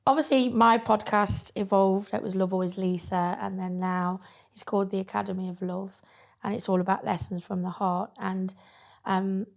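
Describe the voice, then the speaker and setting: A woman with a croaky voice interviewing a friend in a small studio, friendly and rambling with many ums. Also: medium pitch, steady tone, normal speed. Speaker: interviewer, podcaster. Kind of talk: casual interview chat.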